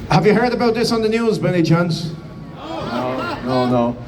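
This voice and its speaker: A man's voice: sits high, very animated, moderate pace, recorded from a distance, crowd noise. An Irish-accented man speaking as master of ceremonies, giving a declamatory public address with some hesitations. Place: outdoors in front of a building.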